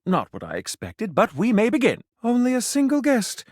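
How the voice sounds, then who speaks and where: Flamboyant, swooping snobbish food critic. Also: male voice, very high voice, huge pitch swings, normal speed, a little mellow, no room sound, clean studio sound, heavily acted. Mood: gracious, excited. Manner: pompous, theatrical, precise refined diction. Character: flamboyant, snobbish, easily offended male food critic, grandly romantic. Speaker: restaurant critic. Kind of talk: theatrical video game character acting.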